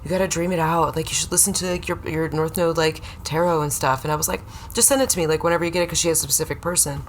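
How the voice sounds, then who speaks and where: Female guest, low voice, rambling and hesitant. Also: fairly even intonation, very fast, slight background noise. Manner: rambling, hesitant storytelling with many restarts. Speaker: podcast guest. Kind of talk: casual comedic chat.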